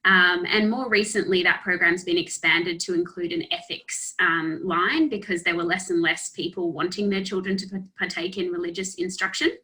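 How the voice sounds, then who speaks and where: A woman's voice: on the low side, fairly even intonation, normal speed, hardly any pauses, bright, forceful tone, rough recording. A woman who teaches ethics, explaining her background in a friendly way with "um" fillers on a video call. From Australia. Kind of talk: casual presentation on a video call.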